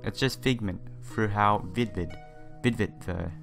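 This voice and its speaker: A man's voice: on the low side, measured pace, gravelly, a bit bright. A man doing a game playthrough, reading the story text aloud with casual asides and stumbling over some words. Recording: game music behind the voice.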